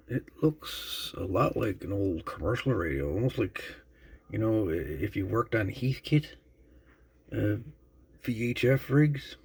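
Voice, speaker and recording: Gravelly low-voiced man, casual and halting. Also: warm tone. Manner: halting, musing, trailing off. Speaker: host of a radio repair channel. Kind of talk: casual unscripted show-and-tell commentary.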